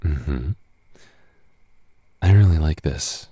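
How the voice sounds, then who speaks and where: Very deep-voiced tipsy roommate in love played by a man. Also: extremely slow, mellow, husky, dry recording, heavily acted. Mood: tender, flirty.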